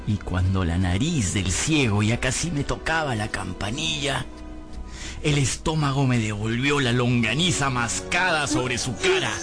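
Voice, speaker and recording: An actor playing a poor, hungry servant boy who tells his misfortunes in a plaintive, theatrical way for a radio drama. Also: mid-range voice. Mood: rueful. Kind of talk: dramatic first-person radio narration. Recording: music bed behind voice.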